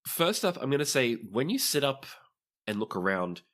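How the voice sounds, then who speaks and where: A man's voice: medium pitch, big pitch swings, moderate pace, fairly bright tone. A man narrating a tabletop roleplaying game as the game master.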